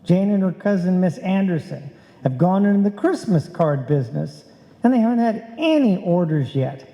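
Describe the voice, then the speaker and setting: In a long, reverberant hall, a man acts a wry husband from an old-time radio comedy. His voice is high and mellow, with dry, deadpan wisecracks. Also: moderate pace. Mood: dry, put-upon. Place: on stage in a live performance.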